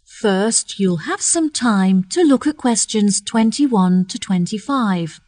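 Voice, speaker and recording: A woman reading scripted exam instructions in a measured, formal, carefully enunciated manner. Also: mid-range voice, animated, darkish tone, no room sound, studio quality. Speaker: exam announcer.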